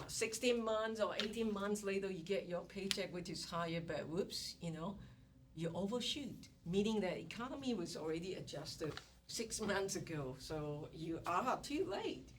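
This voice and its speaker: A woman from the Malaysia and Singapore area teaching economics in a classroom, chatty and given to acting out little scenes. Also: on the low side. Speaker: economics lecturer. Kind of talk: classroom lecture. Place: university classroom. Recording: distant classroom recording with faint noise.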